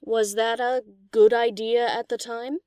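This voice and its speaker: A woman's voice: fairly high pitch, fairly even intonation, measured pace, darkish tone, smooth, no room sound, close to an everyday voice. A woman voicing a cheeky, laid-back DJ pony in an audio story, with a casual, teasing, slangy delivery. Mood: earnest, playful. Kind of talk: scripted audio story character acting.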